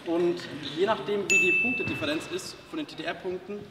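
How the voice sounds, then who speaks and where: A man's voice: sits high, moderate pace, very noisy. A young man, a table tennis trainer, explaining drills and plans to his players in an informal way. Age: young adult. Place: large sports hall. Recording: roomy hall audio from a distance.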